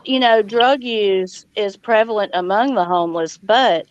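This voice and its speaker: A woman's voice: mid-range voice, a little slow, no echo. A woman hosting a casual live chat, speaking in a folksy way and greeting guests and viewers with y'all. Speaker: live chat stream host. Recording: dull lo-fi audio.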